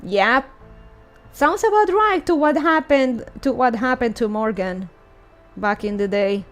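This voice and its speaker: High-voiced woman streamer musing aloud. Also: lively intonation, measured pace, soft background music. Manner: musing, hums and interjects reactions. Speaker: streamer reading a video game story aloud. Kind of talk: casual readalong with commentary.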